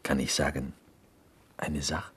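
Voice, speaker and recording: Ein Schauspieler spielt in einem Hörspiel einen ernsten, naiv beharrlichen griechischen Häftling mit griechischem Akzent und gebrochenem Deutsch. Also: male voice, very deep voice, level tone, slow pace, husky, exaggerated voice. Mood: hushed, pestering. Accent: Greek. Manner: broken German, hushed storytelling. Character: earnest, insistent Greek prisoner, naive and reverent. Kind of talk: German radio play acting, 1970s.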